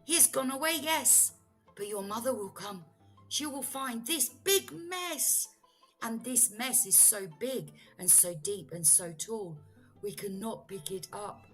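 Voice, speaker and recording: A woman's voice: fairly high pitch, lively intonation, measured pace, fairly bright tone, faint music behind. A woman voicing an anxious, scolding little pet fish in a children's storybook read-aloud, fretful and urgent. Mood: fretful relief.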